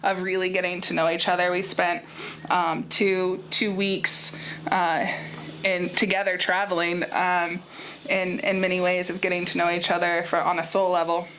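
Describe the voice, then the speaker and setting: A woman hosting a heartfelt conversation, reflective and hesitant, with many pauses and "um"s. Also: lowish voice, little pitch movement, fairly bright tone, a little hiss or hum, low-quality recording. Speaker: podcast host.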